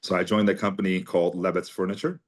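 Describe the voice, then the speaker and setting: Man in his forties, very deep voice, from Armenia, chatty storyteller, podcast guest. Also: flat delivery, quick pace. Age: 40s. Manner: chatty anecdotal storyteller, many fillers. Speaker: guest, company CEO. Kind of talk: conversational storytelling interview.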